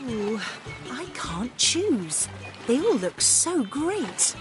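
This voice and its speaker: Cartoon mummy pig: fairly high, expressive, kindly, music behind. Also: female voice, drawn-out words, heavily acted. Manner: kindly, reassuring. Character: gentle cheerful mother pig. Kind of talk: children's cartoon game acting.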